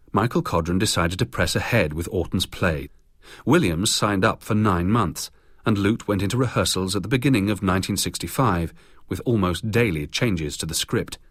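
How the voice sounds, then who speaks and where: A man's voice: sits low, dry recording, studio quality. A British man narrating a scripted biography for radio.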